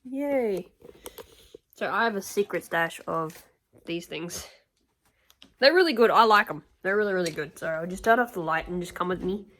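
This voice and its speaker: A woman's voice: lowish voice, moderate pace, long pauses, a bit bright. Woman, nasal, chatty and rambling, recorded at a distance, household noise behind. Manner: rambling, chatty, lots of fillers and self-corrections. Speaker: vlogger. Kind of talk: casual get-ready-with-me vlog. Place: home kitchen and rooms, indoors. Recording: echoey room, voice off-mic, household noise.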